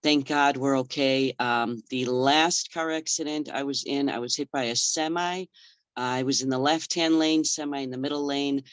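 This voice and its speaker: A man's voice: medium pitch, fairly bright tone, clear, resonant tone, dry recording. A man born in the Czech Republic, a fitness trainer, passionately telling his story as a guest on a video call, emphatic and full of long lists.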